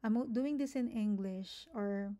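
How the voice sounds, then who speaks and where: A woman's voice: medium pitch, measured pace, dark tone. A young woman with a Filipino accent, whose first language is Tagalog, explaining an application step by step in a screen-recorded tutorial, often restarting words and checking in with "okay?". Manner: repeats herself, frequent restarts and "okay?" checks. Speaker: nurse giving an application walkthrough.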